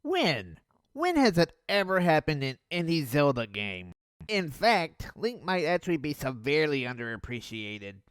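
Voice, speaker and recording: A young man reviewing an old cartoon in a sarcastic, mocking voice-over with deadpan jabs. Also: a little high, normal speed, clean tone, no room sound, studio quality, soft background music.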